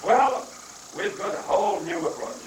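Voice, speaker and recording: A man's voice: high-pitched, lively intonation, normal speed. A man playing a gung-ho, cynical army general in a satirical play reading, declaiming bombastically. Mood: cynical, assured. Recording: roomy, noisy live recording, distant microphone.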